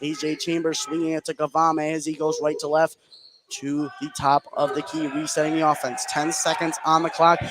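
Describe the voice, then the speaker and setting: Male radio play-by-play commentator, slightly high-pitched, tracking action in short phrases; noisy live gym broadcast.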